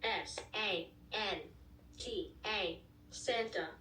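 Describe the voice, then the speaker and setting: A synthetic woman's voice from a speech device spells out letters one by one before saying each word, very slowly. Its tone is bright and crisp. It sounds a little distant, played in a roomy space with a faint hum behind. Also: medium pitch. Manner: spells words letter by letter, then says the word. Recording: roomy device speaker heard from across the room.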